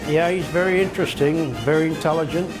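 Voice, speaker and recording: A man's voice: fairly high pitch, fairly even intonation, fast, over music, low-quality recording. A man in his seventies from Belgium, chatting casually in a folksy, agreeable way while filming a visit. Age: 70s. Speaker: home videographer and visitor chatting with a veteran. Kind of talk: casual chat.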